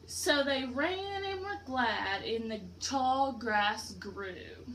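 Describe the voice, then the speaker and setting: High-pitched, slow-paced woman reading to children. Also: lively intonation, fairly bright tone, a little hiss or hum. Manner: instructive, simple explanations with questions to pupils. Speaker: teacher reading aloud to a class. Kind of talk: interactive children's picture-book read-aloud.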